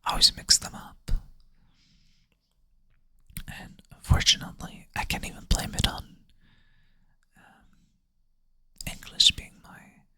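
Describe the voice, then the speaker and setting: Soft whisper, sleepy. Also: slow, pauses often. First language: Swedish. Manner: soft whisper, thinking aloud, self-deprecating. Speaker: ASMR creator. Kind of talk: whispered ASMR puzzle play-through. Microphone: close ASMR mic.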